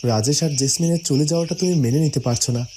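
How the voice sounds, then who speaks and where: A man's voice: fairly high pitch, fast, background noise, close to an everyday voice. A man voicing a devoted, protective young prince in a fairytale cartoon, with music behind the voice. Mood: tender, reassuring.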